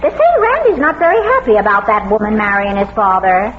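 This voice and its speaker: A woman's voice: medium pitch, expressive, fairly quick, close to an everyday voice. A woman acting in an old radio drama as a chatty, homespun small-town gossip. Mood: chatty, gossipy. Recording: muffled, very noisy vintage radio recording.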